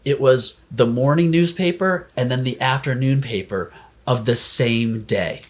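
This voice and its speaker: An American man talking as a financial advisor and podcast guest, animated in telling stories and often checking in with "right?". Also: mid-range voice, a little slow, slight background noise. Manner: animated storyteller, tags sentences with "right?". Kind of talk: conversational podcast interview.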